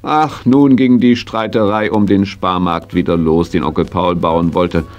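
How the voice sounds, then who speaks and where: A man narrating a scripted children's radio play as an expressive storyteller. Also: low voice, measured pace, muffled recording, soft background music.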